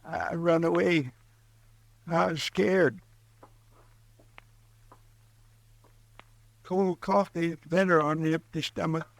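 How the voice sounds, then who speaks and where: Scared old frontier geezer, slow and gravelly, stammery drawl, dry old radio sound. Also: male voice, on the high side, fairly even intonation, no room sound. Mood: fearful. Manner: halting, stammering country drawl. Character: frightened old male settler, meek and slow-witted. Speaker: old man witness. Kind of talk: 1950s radio drama acting.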